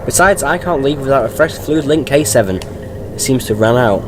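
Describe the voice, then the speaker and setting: A man's voice: mid-range voice, expressive, a little fast, noisy background, lightly acted. A man playing a jaunty, impatient, eccentric time-travelling adventurer in a sci-fi audio drama. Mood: breezy.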